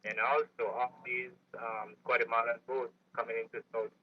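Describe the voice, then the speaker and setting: Male radio caller on a dull telephone line, earnest, halting, unscripted delivery. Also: medium pitch, flat delivery, a little hiss or hum. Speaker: phone-in caller, tour guide and dive master. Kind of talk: unscripted complaint to a radio show.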